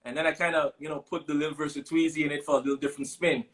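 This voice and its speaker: A man in his thirties from Sint Maarten with a Caribbean English accent, a guest telling stories in a relaxed interview, with plenty of fillers. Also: fairly high pitch, very fast. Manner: relaxed storytelling with many fillers. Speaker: guest musician. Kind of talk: casual interview chat.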